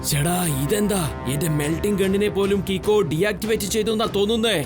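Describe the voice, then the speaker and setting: A man's voice: high-pitched, fast talker, close to an everyday voice. A man voicing a gloating cartoon villain. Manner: gloating, mocking laughter. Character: boastful greedy male villain who melts things. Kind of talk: dubbed cartoon acting. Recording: studio dub over cartoon music.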